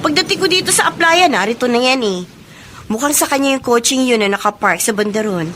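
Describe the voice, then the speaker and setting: Casting: breezy young female best friend, Filipino accent, very high voice, faint ambience, radio drama. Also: lively intonation, fairly quick, fairly bright tone, slight background noise. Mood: breezy, dismissive.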